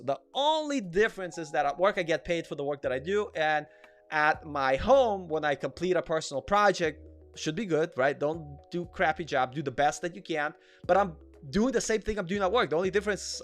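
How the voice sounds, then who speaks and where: A man's voice: fairly high pitch, animated, brisk, faint music behind. A man from Russia with a slight Russian accent, hosting a casual live Q&A and chatting with viewers. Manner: chatty, reads out chat comments, repeats himself. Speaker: livestream host, developer.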